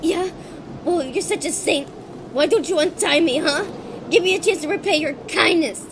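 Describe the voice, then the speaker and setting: Woman acting a very high-pitched, defiant young adventurer. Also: normal speed, noisy background. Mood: sarcastic. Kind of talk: audio drama acting.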